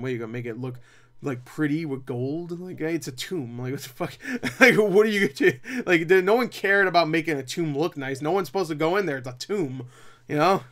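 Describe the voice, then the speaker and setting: Rambling young man with a slightly high voice. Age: young adult. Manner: rambling, self-deprecating, animated asides. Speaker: movie reviewer. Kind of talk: casual unscripted review chat. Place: small cluttered room at home, indoors.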